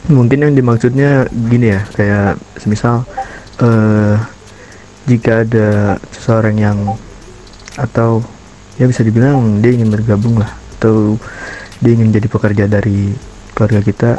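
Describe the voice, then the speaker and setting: A man's voice: fairly low pitch, steady tone, moderate pace, dark tone, lightly acted, poor audio. A man playing a senior crime family member in an improvised game roleplay, explaining things with hesitant phrasing. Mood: explanatory, calm. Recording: faint background noise.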